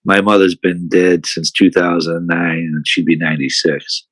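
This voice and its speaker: A man's voice: deep voice, steady tone, normal speed, fairly bright tone, no room sound, low-quality recording. An older man from the United States, a podcast guest who talks in a frank, blunt way and swears casually.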